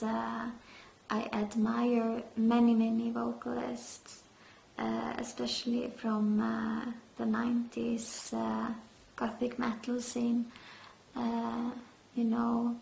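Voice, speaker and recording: A woman vocalist answering interview questions, halting with many fillers. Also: a little high, creaky, a little mellow, slight background noise. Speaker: metal band vocalist. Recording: roomy, dull lo-fi sound.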